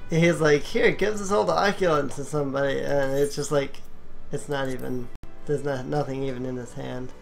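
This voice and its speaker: A game player hosting a casual let's play, talking in a hesitant, halting way with a co-player. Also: mid-range voice, fairly even intonation, creaky, soft background music. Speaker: host of a gaming video, player.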